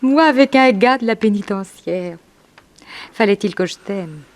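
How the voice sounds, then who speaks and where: A woman's voice: mid-range voice, animated, measured pace, lightly acted. A French woman acting in a 1960s radio drama as a devoted, ruthless gangster's mistress with an intimate, knowing manner. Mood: amused, scheming.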